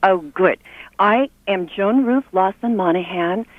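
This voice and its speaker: An older woman speaks as a phone-in caller in a halting, declamatory way. Her voice comes through a dull, narrow phone line, very dry, with emphasis placed on her phrases. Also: medium pitch, dry recording. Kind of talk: live call to a TV phone-in.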